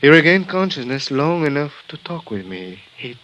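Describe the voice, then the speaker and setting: An actor playing a grave, formal Mexican hospital doctor, speaking in a measured, halting way in a 1950s radio drama. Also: male voice, on the high side, very animated, a bit bright, lo-fi sound. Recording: old radio broadcast with faint hiss.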